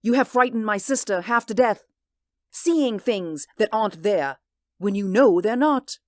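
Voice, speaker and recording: An actress in a 1940s radio drama playing a stern, skeptical former schoolteacher who speaks in a scornful, prim way. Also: female voice, mid-range voice, measured pace, dry recording, studio quality, natural voice.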